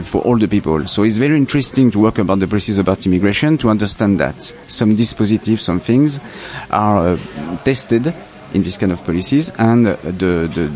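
French-accented filmmaker, fairly low voice, reflective, stops often to find his words. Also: a little mellow. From France. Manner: halting, searching for words, reflective. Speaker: filmmaker, interview guest. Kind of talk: festival interview.

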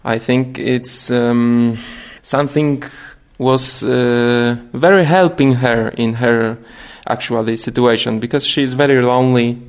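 A young man with a Polish accent talks slowly through an interview, stopping often to hunt for the right English word. His voice has a gravelly, creaky edge. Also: medium pitch, fairly even intonation. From Poland. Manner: halting, searching for words in English. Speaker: documentary filmmaker.

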